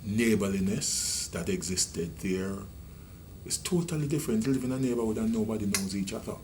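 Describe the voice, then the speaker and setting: A man in his sixties with a Jamaican accent, a retiring senior police officer recalling his rural upbringing in a measured, reminiscing interview. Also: lowish voice, darkish tone, slight background noise. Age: 60s. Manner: measured, reminiscing, with many ums and restarts. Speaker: retiring police deputy commissioner. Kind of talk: reflective interview storytelling.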